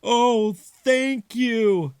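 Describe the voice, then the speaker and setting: A man voicing a gentle, cautious father mouse from a storybook, musing in an expressive, deliberate way. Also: extremely high pitch, clean tone, dry recording, studio quality, voice pushed up, exaggerated voice. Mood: puzzled, sheepish.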